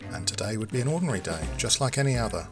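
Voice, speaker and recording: A low, deep male narrator introduces a whimsical radio comedy. He reads like someone opening a storybook, with music clearly underneath. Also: very animated, very fast. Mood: storybook introduction.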